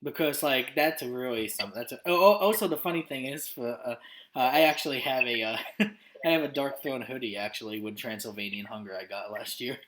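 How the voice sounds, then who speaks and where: A man's voice: medium pitch, fairly quick, a bit bright. A man from Romania chatting casually as interviewer, stammering and hesitant with lots of fillers. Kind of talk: casual chat.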